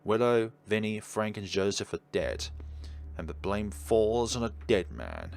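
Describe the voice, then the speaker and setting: A man's voice: fairly low pitch, sing-song, unhurried, gravelly, darkish tone. A man doing let's play commentary, reading game narration aloud theatrically with wry asides. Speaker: game streamer. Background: game music.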